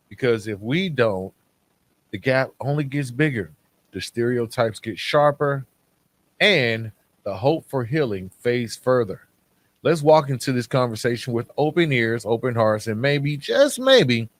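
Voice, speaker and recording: A man hosting a podcast, speaking in a reflective monologue with a measured, earnest delivery and dramatic pauses. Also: medium pitch, no room sound. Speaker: podcast host.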